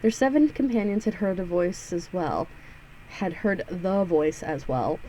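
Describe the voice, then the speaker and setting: A woman's voice: lowish voice, lively intonation, unhurried, mellow, a little hiss or hum, low-quality recording. A woman hosting a let's play, reading a visual novel aloud with sarcastic, giggly asides and free swearing. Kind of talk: casual, joking read-through with asides.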